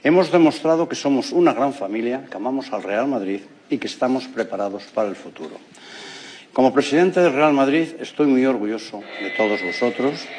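A man's voice: mid-range voice, lively intonation, fairly quick, darkish tone, slight background noise. A man acting as a club president, giving a solemn, declamatory victory speech.

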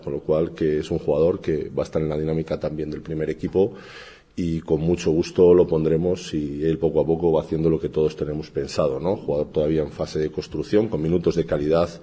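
A middle-aged man, a handball coach, giving a measured, analytical assessment of his new players with frequent hesitations. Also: rumbling low, level tone, dark tone, breathy edge. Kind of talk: sports interview soundbite.

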